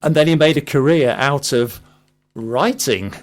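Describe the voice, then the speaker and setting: British man hosting radio, mid-pitched, halting. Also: faint music behind. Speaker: radio host. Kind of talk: casual radio interview.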